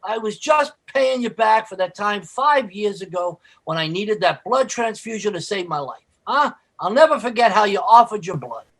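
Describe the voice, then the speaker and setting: Very high-pitched working-class buddy, piercing bright tone, dry wisecracks, mock-noble, ungrammatical, radio comedy. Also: male voice, lively intonation, moderate pace, hardly any pauses, full character voice. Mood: mock-noble, sentimental. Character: working-class next-door neighbor and best pal, dry-witted, freeloading. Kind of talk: old-time radio comedy acting.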